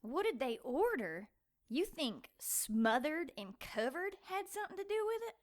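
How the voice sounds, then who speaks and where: A sassy excitable girl, super high bright voice, swoops all over, radio play. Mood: indignant. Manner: sarcastic, feisty, fast-reacting. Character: excitable, sassy, indignant young woman helping the hero. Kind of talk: audio drama dialogue.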